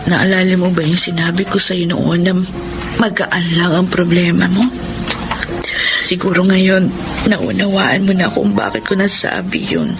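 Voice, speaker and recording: An actress playing a gentle, resigned, dying young woman in a Filipino radio drama, tender in manner. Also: fairly low pitch, over music. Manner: slow, tender delivery.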